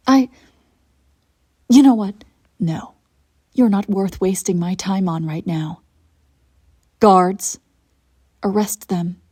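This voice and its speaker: A woman playing an imperious evil empress in an audio roleplay, coolly seductive and menacing, with theatrical, deliberate phrasing. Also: mid-range voice, dry recording, clean studio sound. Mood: furious, commanding. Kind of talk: scripted audio roleplay acting.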